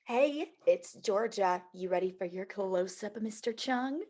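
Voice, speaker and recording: A woman's voice: mid-range voice, measured pace, darkish tone, close to an everyday voice. A woman playing an irritated, ambitious executive assistant in a screenplay table read. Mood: brisk.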